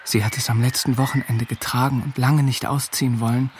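Male actor as observant young farmhand, first-person radio drama narration, medium pitch, soft music underneath. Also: level tone, brisk, close to an everyday voice. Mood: quiet, observant. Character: seventeen-year-old farmhand narrating, observant and tender.

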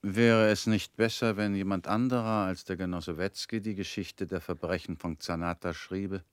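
An actor in a radio play playing a calm former prisoner who questions in a cool, probing, slightly ironic way. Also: male voice, deep voice, moderate pace, clear, resonant tone, no echo, close to an everyday voice.

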